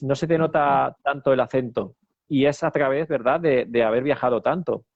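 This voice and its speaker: Gravelly-voiced man asking rambling questions. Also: mid-range voice, normal speed, no room sound, narrow, tinny audio. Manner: rambling questions with fillers and tag questions. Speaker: interviewer host. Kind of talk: interview conversation.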